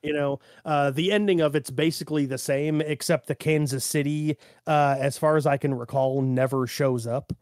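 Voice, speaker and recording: A man's voice: on the high side, fairly even intonation, normal speed, hardly any pauses, a little mellow, no echo, clean studio sound. A man co-hosting a podcast, recapping plots in an informal, loose way with plenty of asides. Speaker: podcast co-host. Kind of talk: casual recap and discussion.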